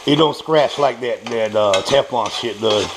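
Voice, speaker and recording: A man's voice: mid-range voice, a little slow. A man with an American accent gives a casual, off-the-cuff home cooking demonstration, folksy and salty-tongued, often repeating himself. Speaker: home cook presenting a recipe. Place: small home kitchen at the stove. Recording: clear kitchen noise, pan and utensil clatter. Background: frying, stirring, clinking dishes.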